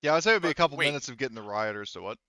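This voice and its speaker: A man's voice: medium pitch, animated, rapid-fire, bright, forceful tone, dry recording, dull audio. A man running a tabletop roleplaying game as game master, talking casually and thinking aloud as he goes.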